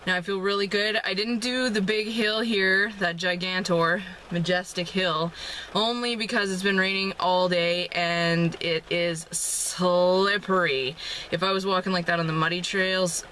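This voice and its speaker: A woman's voice: lowish voice, fairly even intonation, measured pace, pushed and bright, slight background noise, low-quality recording. A young woman in her twenties chatting casually to the camera from a parked car, upbeat and rambling, with lots of ums and little laughs. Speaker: fitness vlogger. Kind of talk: casual vlog talk to camera. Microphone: camera held at arm's length. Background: rain outside.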